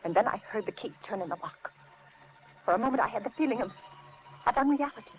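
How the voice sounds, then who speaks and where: An actress playing a frightened, kindly middle-aged housewife in a 1950s radio suspense drama, with an anxious, hesitant, dramatic delivery. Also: female voice, a little high, lively intonation, fast talker, natural voice. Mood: haunted narration. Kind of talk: 1950s radio suspense acting and first-person narration. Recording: muffled, noisy 1950s radio recording.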